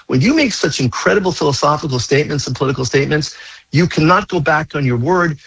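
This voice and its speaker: Husky-voiced rabbi, urgent and insistent. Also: male voice, on the high side, animated, fast, a bit bright, no room sound, poor audio. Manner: urgent, insistent, repeats warnings. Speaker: rabbi, guest. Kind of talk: talk radio interview.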